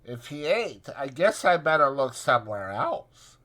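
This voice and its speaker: A man's voice: medium pitch, big pitch swings, unhurried, bright, forceful tone, pitched above the performer's own voice. Man putting on nasal, coy young ranch wife. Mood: playful. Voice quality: nasal, brittle.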